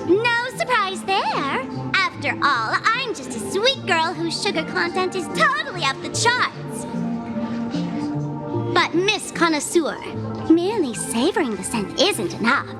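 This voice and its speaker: High, animated, cheeky streamer girl. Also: measured pace, heavily acted. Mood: smug, teasing. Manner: playful, theatrical, teasing. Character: cheeky, self-confident young female streamer, sugary and sly. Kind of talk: scripted video game voice acting. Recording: very loud music behind.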